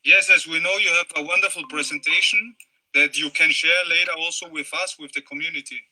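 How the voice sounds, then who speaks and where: Bright-voiced male host of an online show. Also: a little high, fairly even intonation, a little fast, low-quality recording. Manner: formal welcoming, with fillers.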